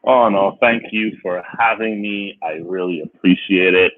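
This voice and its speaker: A man's voice: deep voice, measured pace. A middle-aged man, a chef speaking as a guest on a video call, thoughtful and full of restarts. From Barbados. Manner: thoughtful, with many restarts and fillers. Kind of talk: casual video call interview. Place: indoor room. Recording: tinny phone-like video call audio.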